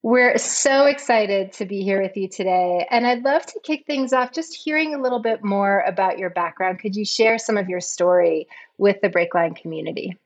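A woman's voice: medium pitch, moderate pace. A woman hosting an interview podcast, reading a scripted welcome and then asking questions in an upbeat, enthusiastic way. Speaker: podcast host.

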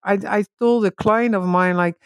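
A woman whose first language is Dutch talks through spiritual ideas in a relaxed way. She restarts often, laughs easily and jumps high when excited. The sound is dry and close, with no room around it. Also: normal speed, clear, resonant tone, no echo. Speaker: guest.

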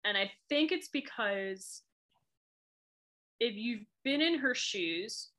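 A woman's voice: fairly high pitch, expressive, slow, background noise. A woman from New England, a chatty author guest talking casually with frequent laughs and fillers. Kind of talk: casual chat.

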